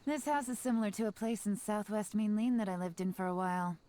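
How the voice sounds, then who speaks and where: Mellow, teasing spymaster played by a woman. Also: medium pitch, fast talker, slight background noise, natural voice. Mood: relaxed, musing. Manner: teasing, smooth, self-assured. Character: shrewd, playful female spymaster, teasing and confident. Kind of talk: scripted video game character dialogue. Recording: game music behind the voice.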